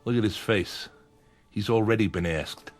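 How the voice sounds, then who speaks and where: An actor playing a menacing bookmaking boss in a radio crime drama, with weary sarcasm and veiled threats. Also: male voice, lowish voice, normal speed, faint music behind, natural voice. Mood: sarcastic, hard. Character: menacing, sardonic male gangland bookmaking boss. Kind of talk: old-time radio crime drama acting.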